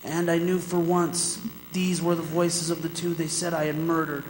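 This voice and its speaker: A man's voice: a little high, little pitch movement, slight background noise, close to an everyday voice. An actor playing a desperate, haunted condemned man, theatrical and solemn in old-time radio drama style. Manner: theatrical, solemn, drawn-out phrasing. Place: performing arts center stage, live performance. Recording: echoey hall with music behind.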